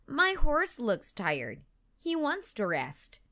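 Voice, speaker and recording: An excitable, plucky little girl from a children's storybook, acted out by a woman reader. She exclaims with enthusiasm in a bright voice that jumps up high and swings around. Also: slow pace, heavily acted. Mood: hesitant.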